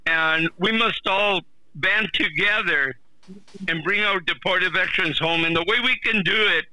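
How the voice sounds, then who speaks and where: A man's voice: high-pitched, unhurried, pushed and bright, dry recording, poor audio. A man giving rallying closing remarks as a guest on a discussion. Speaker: interview guest.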